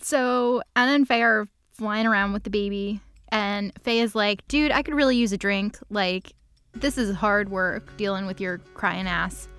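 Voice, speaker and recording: A woman's voice: mid-range voice, fairly even intonation, moderate pace, fairly bright tone, clear, resonant tone, no echo. A woman co-hosting a comedic story recap, chatting casually with slang and sarcastic asides.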